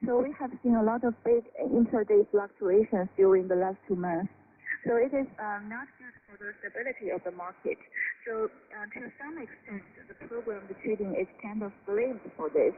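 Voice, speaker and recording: A woman explaining haltingly as an analyst in a phone interview on shortwave radio. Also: medium pitch. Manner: halting, with frequent hesitations. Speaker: financial analyst guest. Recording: phone line over noisy shortwave radio.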